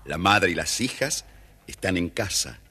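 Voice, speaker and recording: A man's voice: deep voice, sing-song, a little slow, bright, forceful tone. A man narrating a scripted children's story as the storyteller. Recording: faint noise, old children's story record.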